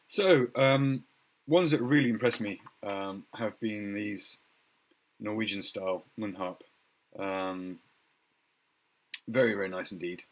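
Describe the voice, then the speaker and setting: A middle-aged man with a croaky voice, casually showing instruments from his shop on a webcam video at home, pausing often with ums and uhs. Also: fairly low pitch, poor audio. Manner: relaxed, hesitant with many ums and uhs. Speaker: music shop owner showcasing instruments. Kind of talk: casual product demo video. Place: home music room indoors.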